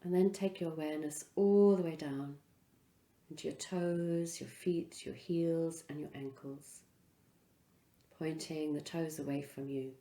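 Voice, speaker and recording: A woman leading a guided relaxation as a yoga instructor, speaking in an unhurried, soothing way with pauses between phrases. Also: deep voice, fairly even intonation, warm tone, reverberant.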